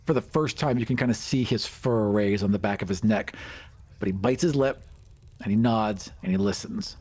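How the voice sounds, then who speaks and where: A man's voice: fairly low pitch, moderate pace, a little mellow, husky. Man casually telling his game character's actions. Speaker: tabletop game player.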